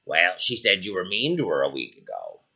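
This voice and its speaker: A sly, warty storybook frog prince, acted by a man. The voice drops low and stays calm and coaxing, with croaks, on a muffled lo-fi recording. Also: a little fast, full character voice. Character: big warty male talking frog, sly enchanted prince.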